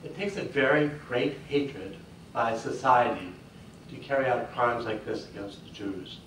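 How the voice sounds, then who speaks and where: A man's voice: mid-range voice, measured pace, fairly bright tone, a little hiss or hum. Polite man asking in a hall. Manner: polite, formal. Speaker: audience member asking a question. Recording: echoey hall, distant mic.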